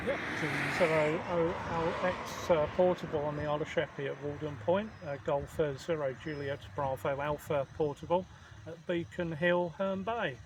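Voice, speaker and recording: A man chatting as an amateur radio operator, speaking in a hesitant, measured way. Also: a little high, fairly even intonation. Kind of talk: casual radio contact chat. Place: outdoors at a portable radio station. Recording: clear outdoor background noise.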